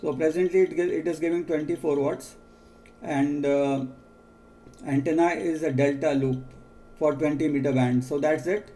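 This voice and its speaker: An Indian-accented man working as an amateur radio operator, measured and repeating key details in a procedural exchange. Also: on the high side, fairly even intonation, warm tone, a little hiss or hum.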